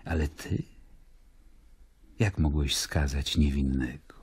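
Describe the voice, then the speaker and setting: Niski, surowy rzymski namiestnik. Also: male voice, very deep voice, fairly even intonation, slow, a little mellow, breathy edge, no echo, heavily acted. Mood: stern, probing. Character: stern, sceptical Roman governor narrating and interrogating. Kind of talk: literary audio drama acting.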